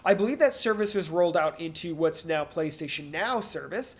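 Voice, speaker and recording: A man's voice: a little high, expressive, a little fast. A man speaks as a podcast co-host in a casual discussion. His delivery is rambling and analytical, as he works through his points aloud and often paraphrases others in mock quotes. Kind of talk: casual video game industry discussion.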